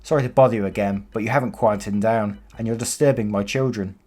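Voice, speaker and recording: A man's voice: lowish voice, steady tone, fast, dark tone, natural voice. Man reading as a pushy, demanding mother. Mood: passive-aggressive. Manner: curt, demanding. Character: pushy passive-aggressive mother, adult woman.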